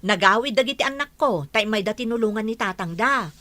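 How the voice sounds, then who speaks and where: Woman doing a nosy village gossip, bright fairly high voice, dry sound, radio comedy. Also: expressive, a little fast, dry recording. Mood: gossipy. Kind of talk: radio comedy drama acting.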